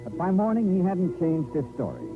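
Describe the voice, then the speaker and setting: Sardonic radio detective, tinny old recording. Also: male voice, high voice, wildly swooping pitch, a little fast, over music, full character voice. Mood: brooding narration. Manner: clipped, probing, sardonic. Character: hardboiled, shrewd male insurance investigator narrating his case. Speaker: narrator and lead detective. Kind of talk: 1950s radio detective drama acting.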